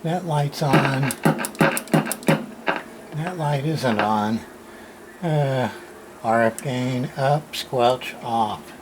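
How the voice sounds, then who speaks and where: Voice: medium pitch, drawn-out words, long pauses. A person giving casual, hands-on unboxing commentary as a repair video host, muttering to themselves in short, fragmented remarks. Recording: noisy room with clear background noise.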